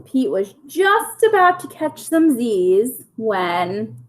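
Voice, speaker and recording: Casting: female storyteller for young schoolchildren, higher-pitched, very unhurried and deliberate picture-book reading. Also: very animated, a little hiss or hum. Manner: simple, deliberate phrasing for young children. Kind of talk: children's picture-book read-aloud.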